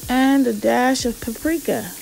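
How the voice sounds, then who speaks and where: A woman giving an unhurried, step-by-step cooking narration in a home kitchen, in a homely, instructional way. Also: a little high, gravelly, a little mellow, slight background noise, rough recording. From Jamaican parents. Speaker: cooking vlogger. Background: background music.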